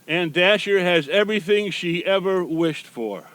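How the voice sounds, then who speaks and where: A man reads a children's storybook aloud in the role of Santa Claus. His voice sits high for a man, and he delivers the tale as a read-aloud for small listeners. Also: unhurried, a bit bright.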